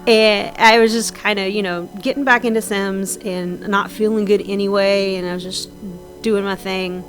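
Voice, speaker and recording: A woman's voice: medium pitch, steady tone, normal speed, soft background music. A woman with a slightly scratchy voice from sinus trouble, chatting casually and rambling with frequent ums and restarts over her game build. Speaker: Sims builder narrating a speed build.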